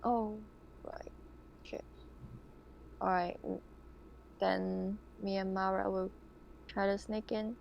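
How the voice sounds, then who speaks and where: A woman's voice: mid-range voice, flat delivery, slow pace, gravelly, long pauses, highs cut off. A woman chatting casually as a player in a tabletop roleplaying game. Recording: faint background noise and room ambience.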